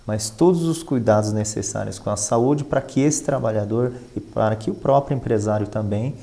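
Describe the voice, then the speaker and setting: Mellow, low-voiced man in interview. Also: moderate pace, vocal fry. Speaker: finance professional and professor, interview guest. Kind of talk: conversational interview answers.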